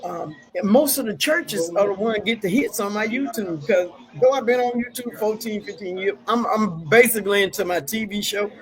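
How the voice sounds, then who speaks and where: A woman's voice: medium pitch, moderate pace, few pauses, a crowd in the background. A woman hosting a talk show, telling stories and preaching scripture in a folksy, casual way.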